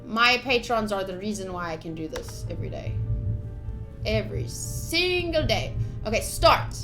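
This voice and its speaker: A woman's voice: medium pitch, sing-song, normal speed, roomy sound, over music. A woman live-streaming a game, chatting casually and informally and speaking straight to her viewers. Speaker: live streamer playing a visual novel.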